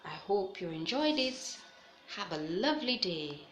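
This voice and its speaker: A woman's voice: mid-range voice, very animated, a little slow, a little hiss or hum. A woman hosting a children's read-aloud, narrating a picture book expressively for young listeners. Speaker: storytelling host.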